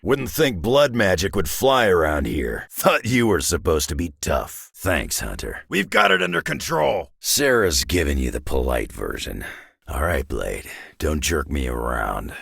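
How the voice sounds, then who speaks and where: A man playing a gruff, world-weary, sardonic middle-aged superhero brawler in a video game, with a raspy growl and a blunt, wry drawl. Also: rumbling low, big pitch swings, unhurried, fairly bright tone, dry recording, clean studio sound, full character voice. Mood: dry, weary. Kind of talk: video game character voice lines.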